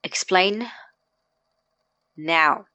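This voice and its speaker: Slow, blunt, sarcastic performer as a young man. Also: mid-range voice, sharp tone, dry recording. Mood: demanding. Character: stern, incredulous young man confronting his experimenting housemate. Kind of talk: naturalistic audio drama acting.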